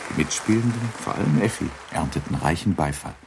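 A man narrating a literary radio drama in a measured, formal manner. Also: low-pitched, animated, vocal fry, a crowd in the background, background noise.

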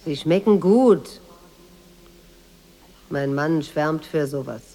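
A woman's voice: low-pitched, slow pace, dark tone. An actress playing a proud, blunt, inquisitive Moroccan woman in a radio drama, speaking in short, direct sentences. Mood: teasing, blunt. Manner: direct, self-possessed, short probing questions.

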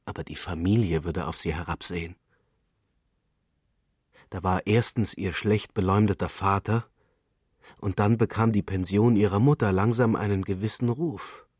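A man's voice: low-pitched, moderate pace, pauses often, a little mellow, slightly rough, no echo, studio quality. A man reading literary prose as a scripted narrator.

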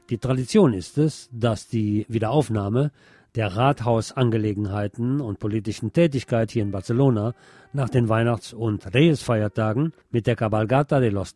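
A man presenting radio news, reading from a script in a measured bulletin style. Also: lowish voice, dark tone, no echo.

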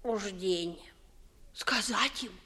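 An actress playing a pert, quick-witted young maidservant in a 1950s Russian verse radio comedy, with theatrical, exclamatory delivery and muttered asides. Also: female voice, mid-range voice, sharp tone. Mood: drowsy.

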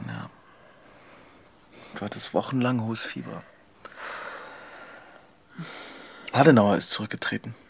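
A man's voice: on the low side, normal speed, creaky, husky. An actor playing a watchful, defiant young man in a radio play, with a dry conversational delivery. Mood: dry, concerned. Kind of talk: radio drama acting.

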